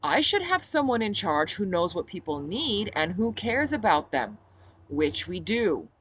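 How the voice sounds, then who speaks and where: A woman's voice: mid-range voice, very animated, unhurried, a bit bright, breathy edge, heavily acted. A woman voicing a battle-weary, blunt rebel captain, a woman, in an audiobook story. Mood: exasperated. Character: weary, sharp-tongued woman rebel captain, tender with children.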